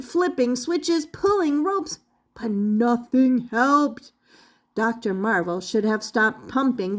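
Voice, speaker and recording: Storyteller: older woman, high-pitched and unhurried, reading children's fiction with dramatic, deliberate emphasis. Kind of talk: expressive children's storybook narration.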